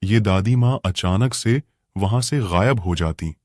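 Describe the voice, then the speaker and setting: A male storyteller reading a cartoon moral tale. His voice is low-pitched and the pace is slow, the delivery steady and plain, recorded close and dry. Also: dry recording. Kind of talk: scripted storybook narration.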